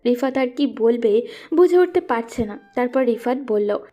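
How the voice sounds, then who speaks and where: A woman's voice: a little high, animated, normal speed, mellow. A woman narrating a scripted romantic story.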